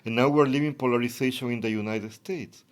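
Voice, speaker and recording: A man's voice: mid-range voice, quick pace. A man from Ecuador, a native Spanish speaker with an Ecuadorian accent, giving reflective interview answers as an academic guest.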